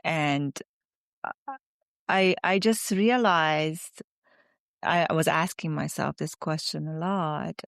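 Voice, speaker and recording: Woman in her 40s, interview guest, gravelly voice, thoughtful and halting, dry close sound. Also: low-pitched, dry recording. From Iran. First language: Farsi. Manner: reflective, halting, with restarts. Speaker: guest psychotherapist. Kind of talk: conversational interview.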